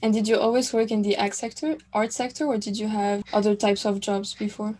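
A woman's voice: mid-range voice, steady tone, fairly quick, mellow. A woman hosting a podcast, politely prompting her guest with questions. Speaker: podcast host. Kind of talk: podcast interview.

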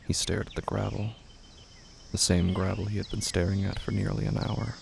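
A man reading scripted horror fiction as a narrator, in deliberate, dramatic phrases with pauses. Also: low-pitched, level tone, vocal fry, darkish tone, slight background noise.

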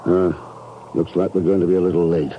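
An actor playing a calm, decisive secret agent in a radio drama, terse and dry in manner. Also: male voice, low voice, fairly quick, lightly acted. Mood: dry, resolute. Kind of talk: 1950s radio drama acting. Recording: muffled, very noisy 1950s radio recording.

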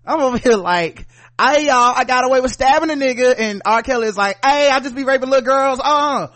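An American man hosting a podcast, chatting casually and comedically with animated, profane riffing. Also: very high-pitched, fairly quick, no echo. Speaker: podcast host.

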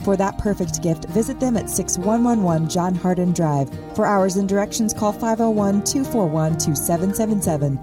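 A woman reading a scripted local radio advertisement as an announcer. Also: medium pitch. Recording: distant-sounding voice over loud music. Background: music.

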